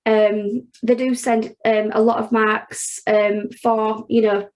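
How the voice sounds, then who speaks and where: A woman with a Yorkshire accent teaches an online accounting revision class. Her voice sits a touch high, and she speaks in a chatty, informal way. She checks in often with "okay" and fills pauses with "um". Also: little pitch movement, a little mellow. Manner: chatty, frequent "okay" and "um", talks students through steps. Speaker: accounting tutor.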